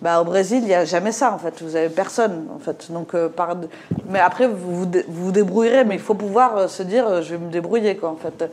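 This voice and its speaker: A woman's voice: lowish voice, brisk, a little mellow, a little hiss or hum. A middle-aged woman from Morocco with a slight Moroccan French accent, speaking in a panel discussion, hesitant with many fillers and restarts. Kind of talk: discussion talk.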